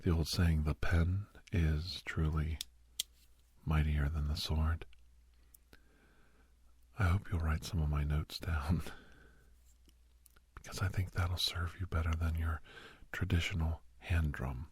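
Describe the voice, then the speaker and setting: A man with an American accent playing a kindly, gentle doctor who counsels a young werewolf in a breathy, whispered ASMR roleplay. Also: extremely low pitch, fairly even intonation, dry recording, full character voice. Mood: gentle, encouraging. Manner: gentle, reflective, slow and halting.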